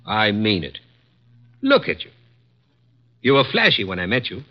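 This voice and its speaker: A man's voice: on the low side, wildly swooping pitch, unhurried. An actor playing a cold, mocking male executive in a 1950s radio drama. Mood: sneering. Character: cruel sneering male advertising executive. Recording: dull old radio broadcast.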